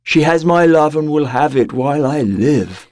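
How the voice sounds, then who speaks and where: A man's voice: fairly high pitch, expressive, moderate pace, a little mellow, no echo, natural voice. Man playing an earnest, faithful young lover, dry sound. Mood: solemn, earnest. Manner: earnest, solemn, dramatic. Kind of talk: audio drama acting.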